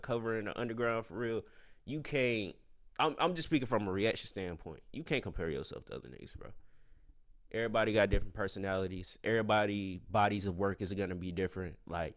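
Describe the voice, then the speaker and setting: A man hosting a reaction video, talking slangy and animated to his chat and giving them advice. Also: mid-range voice. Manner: emotional, says he has tears in his eyes. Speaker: reaction channel host. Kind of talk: casual reaction commentary to viewers.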